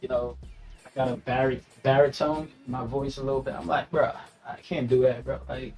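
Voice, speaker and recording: A man's voice: mid-range voice, steady tone, moderate pace, a little mellow. Chill guy talkin slang, laughs a lot, light music faint behind him. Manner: laid-back, laughs often, slangy with many fillers. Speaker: rapper guest. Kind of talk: casual interview chat.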